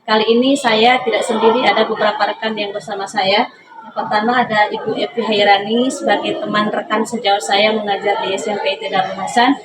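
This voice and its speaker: A woman's voice: mid-range voice, level tone, brisk. A woman leads a semi-formal coaching interview as a teacher, politely and often checking for agreement. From Indonesia. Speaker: teacher leading a coaching session. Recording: roomy, distant mic, loud background noise.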